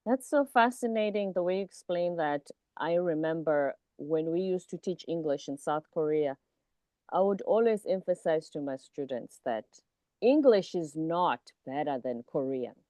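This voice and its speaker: Woman interviewer, low mellow voice; engaged, emphatic, affirming. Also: animated, normal speed, dry recording. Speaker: podcast host. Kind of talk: interview conversation.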